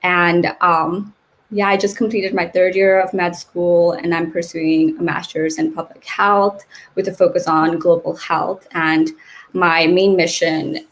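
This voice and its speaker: A woman's voice: on the low side, rough recording. A woman in her twenties from Nepal, a guest in a casual interview, friendly and dotted with fillers, on a video call mic.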